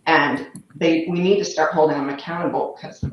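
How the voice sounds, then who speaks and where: A woman's voice: low-pitched, steady tone, normal speed, slight background noise. A woman from Utah giving emphatic, insistent, unscripted political commentary, recorded with muffled, echoing sound. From Utah, United States. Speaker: political panelist. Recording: muffled, heavily echoing room audio.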